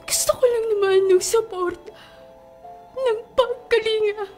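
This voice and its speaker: A woman plays a hurt young athlete daughter in a radio drama. Her very high voice is earnest and pleading, with faint music behind it. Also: fairly even intonation, measured pace, darkish tone. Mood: weary, tearful. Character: hurt, weary teenage daughter, a young volleyball player. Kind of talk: melodramatic radio drama acting.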